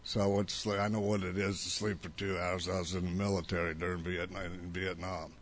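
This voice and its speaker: An older man in his seventies, with a fairly low voice, gives a personal testimony to a listening crowd. He talks bluntly and loosely, circling back and restarting his sentences as he goes. His first language was Italian. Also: level tone, a little fast, slight background noise. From United States. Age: 70s. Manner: rambling, blunt storytelling with restarts. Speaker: speaker sharing his recovery story.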